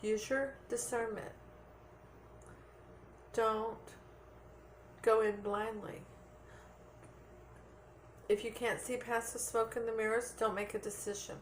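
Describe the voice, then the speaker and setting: A woman's voice: on the high side, fairly even intonation, slow, pauses often, slight background noise, low-quality recording. A woman giving a tarot reading to viewers in an earnest, repetitive way, checking in with "okay?" and losing her voice a little. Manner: earnest, repeats points with "okay?". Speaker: tarot reader.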